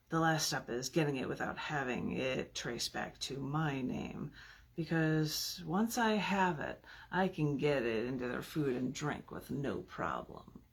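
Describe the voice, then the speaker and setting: A woman poet with a husky, gravelly voice, reading her work theatrically. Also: low-pitched, lively intonation, unhurried, slight background noise. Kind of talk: dramatic poetry reading with casual asides.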